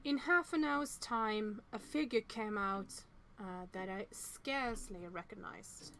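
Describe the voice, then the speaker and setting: A woman's voice: mid-range voice, takes it slowly, gravelly, bright, forceful tone, a little hiss or hum. A woman reading visual novel text aloud with casual commentary, reacting aloud and stumbling now and then over words.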